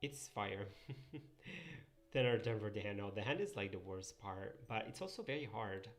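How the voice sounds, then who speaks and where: Gravelly Italian man chatting while drawing. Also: on the low side, animated, moderate pace, soft background music. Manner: rambling, thinks aloud, reads and answers chat. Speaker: streamer drawing pixel art. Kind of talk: casual live-stream chat while drawing.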